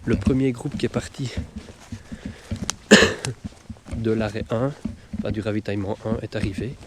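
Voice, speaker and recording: A man's voice: fairly low pitch, steady tone, normal speed. A man with a Belgian French accent, chatting enthusiastically in a vlog while taking photos outdoors in a misty forest. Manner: chatty, enthusiastic, laughs often. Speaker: photographer vlogger. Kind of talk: casual vlog chat. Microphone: action camera. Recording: noisy outdoor camera audio.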